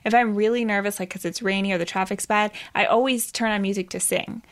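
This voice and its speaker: A woman's voice: medium pitch, fairly even intonation, fast talker. A woman hosting a casual conversation, chatty and rambling, laughing often. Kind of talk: casual chat.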